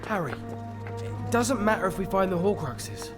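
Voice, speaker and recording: A man's voice: high-pitched, animated, a little slow. An actor with a British accent playing a nervous, grumbling, loyal teenage boy wizard in a video game, plain-spoken. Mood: wary. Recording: hall reverb under music.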